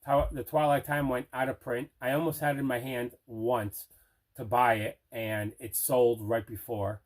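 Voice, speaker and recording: Man, medium pitch, casual unboxing commentary, enthusiastic, conversational collector. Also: little pitch movement, normal speed. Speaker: movie collector, video host.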